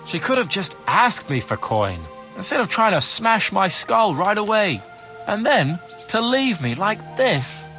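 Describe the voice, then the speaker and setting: A man's voice: high voice, very animated, measured pace, pushed and bright, soft background music, full character voice. A man playing a cowardly, roguish young turncoat in a video game cutscene, whining, wheedling and foul-mouthed. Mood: aggrieved. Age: young adult.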